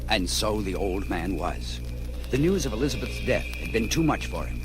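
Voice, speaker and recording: An actor playing a solemn storyteller, giving dramatic scripted narration of a gothic tale on a vintage record. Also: male voice, lowish voice, animated, moderate pace, close to an everyday voice, poor audio. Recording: vintage vinyl drama, music underneath.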